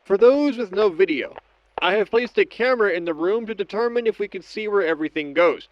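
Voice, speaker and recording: A man playing a methodical scientist in an audio drama, narrating each step of an experiment log in a measured, clinical way. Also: sits high, expressive. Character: methodical male scientist doctor logging experiments on tape. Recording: dull lo-fi audio with background noise.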